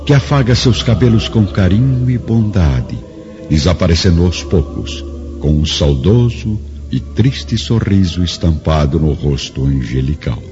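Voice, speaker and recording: A man with a Brazilian accent giving solemn, expressive scripted narration for a radio drama. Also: sits low, measured pace. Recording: dull lo-fi with faint music.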